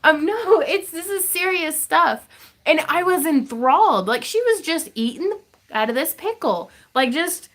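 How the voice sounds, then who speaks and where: A woman's voice: extremely high pitch, expressive, unhurried, a bit bright. A woman hosting a craft livestream Q&A, chatty and giggly, with lots of fillers, from her home craft room. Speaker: craft channel host. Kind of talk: livestream Q&A chat.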